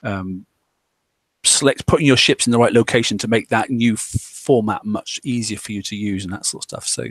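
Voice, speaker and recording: A man's voice: fairly low pitch, normal speed, breathy edge, no room sound. A man hosting a casual discussion, rambling along with lots of fillers and restarts. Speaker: podcast host.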